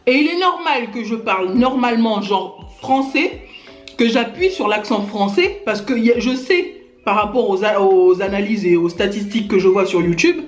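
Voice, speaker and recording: A Gabon-born woman YouTuber ranting indignantly to her camera, speaking straight at her critics and filling her talk with little tags. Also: a little high. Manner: indignant, addresses critics directly, many fillers like "hein" and "genre". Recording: echoey room with faint music.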